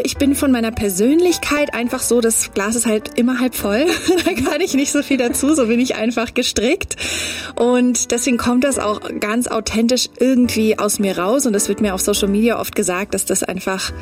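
A woman's voice: fairly high pitch, a little mellow. A woman musician who grew up in Germany with English as her first language, chatting upbeat in an interview and mixing English words into her talk. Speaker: musician guest. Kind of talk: casual interview.

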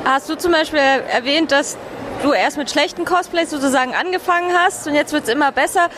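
A woman's voice: high-pitched, a little fast. A woman interviewing people casually on location at a busy convention. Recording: busy crowd, clear background noise.

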